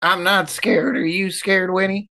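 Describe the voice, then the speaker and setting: A woman's voice: on the low side, level tone, unhurried, sharp tone. A woman playing a tabletop game, chatting casually and loosely in slang. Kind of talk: casual improvised chat.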